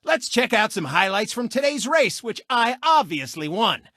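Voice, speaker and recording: A gloating cartoon race car who hosts a sportscast in a video game. He is a smug, theatrical showman with a bright, brassy edge, and his pitch leaps around as he announces and taunts. The sound is close and dry. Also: sing-song, moderate pace, no room sound, exaggerated voice. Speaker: sports show host and racer.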